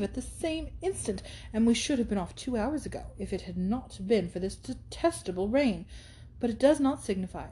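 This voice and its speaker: A woman reading aloud and voicing an effusive, affected young society woman who gushes in long, drawn-out phrases. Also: on the high side, dark tone, natural voice, rough recording. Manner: drawn-out, gushing delivery.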